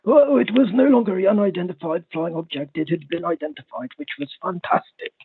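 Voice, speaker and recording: A man calling in to a comedic talk show, talking in a halting, repetitive, stammering way over a phone line. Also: high voice, lively intonation, husky. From Spain. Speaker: caller. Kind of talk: rambling comedic call-in.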